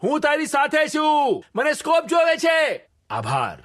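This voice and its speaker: A man's voice: very high-pitched, huge pitch swings, a little slow, fairly bright tone, raised voice, exaggerated voice. A man voicing an urgent squad soldier in a game voice pack, barking short, clipped battle commands. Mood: tactical, controlled. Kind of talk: short shouted military game callouts.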